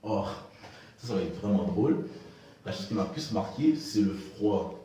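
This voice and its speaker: A man from Haiti answering interview questions in a halting way, repeating words as he talks. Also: fairly low pitch, animated, mellow, recorded from a distance, slight background noise. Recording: echoey room, off-mic.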